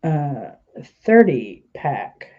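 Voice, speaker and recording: A woman's voice: low-pitched, very animated, very slow, breathy edge, narrow, tinny audio. A woman host chatting casually and rambling, trailing off mid-sentence, sounding stuffy and congested. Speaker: channel host. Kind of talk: casual rambling chat to camera.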